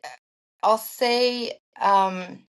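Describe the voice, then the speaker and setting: A woman from Armenia, a physicist guest on a podcast, speaking in halting, reflective phrases with restarts. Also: a little high, steady tone, fairly bright tone, clear, resonant tone. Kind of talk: casual interview chat.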